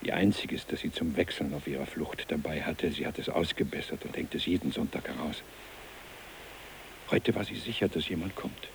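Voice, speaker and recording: A man's voice: extremely low pitch, moderate pace, gravelly, slight background noise. An actor playing an older country man in a radio drama, hospitable but blunt in manner. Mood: welcoming. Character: kindly, blunt old country man in Sweden.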